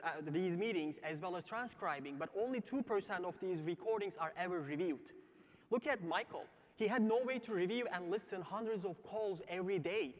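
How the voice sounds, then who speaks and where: Man, Turkish accent, high voice, emphatic business pitch, short declarative phrases, long theatre reverb. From Turkey. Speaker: startup founder pitching. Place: large theatre stage before live audience.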